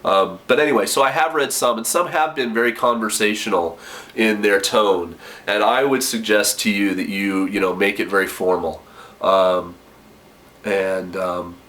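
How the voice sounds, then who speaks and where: A man's voice: lowish voice, moderate pace, fairly bright tone. A young man with a cold, sounding a bit nasal, giving chatty application advice in his kitchen with lots of ums. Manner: chatty, many ums and self-corrections. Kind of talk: casual advice vlog.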